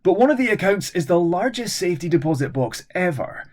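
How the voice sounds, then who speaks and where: A man plays an earnest young fellow in a children's spy story. The voice is lifted and husky. He explains things in an emphatic, know-it-all manner. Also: high voice, big pitch swings, brisk, a little mellow, pitched above the performer's own voice. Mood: explaining.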